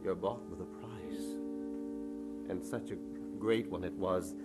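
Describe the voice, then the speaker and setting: Deepish, solemn missionary preacher. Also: male voice, over loud music. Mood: solemn, urgent. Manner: emphatic, measured, exhorting. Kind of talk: sermon in old radio drama.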